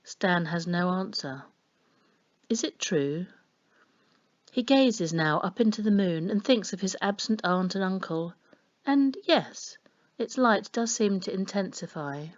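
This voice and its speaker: A woman reading a children's story aloud in an expressive storytelling style. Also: medium pitch, measured pace, highs cut off. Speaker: story reader. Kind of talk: expressive children's audiobook narration.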